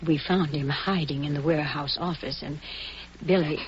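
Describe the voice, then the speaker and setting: A woman's voice: low voice, steady tone, normal speed, natural voice. An actress playing a soothing older mother with hidden menace in a vintage radio crime drama. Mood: grave, hesitant. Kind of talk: 1940s radio acting. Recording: dull, noisy vintage radio recording with hum.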